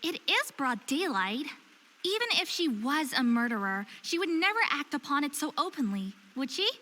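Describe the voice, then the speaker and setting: A woman's voice: high voice, very animated, moderate pace, sharp tone, in a large hall, heavily acted. A woman playing an earnest, stubborn and curious young woman of faerie descent in a visual novel game. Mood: excited, nervous. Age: young adult. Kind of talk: visual novel voice acting with inner monologue.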